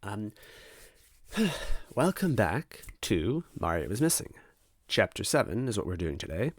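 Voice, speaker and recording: A man with a fairly low voice talks casually as the host of his own series. He rambles, hesitates and breaks off his own sentences, in a close, dry recording. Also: sing-song, breathy edge, no room sound. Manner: hesitant, halting, self-interrupting. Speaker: host of a fan fiction reading series. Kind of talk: casual rambling preamble to listeners.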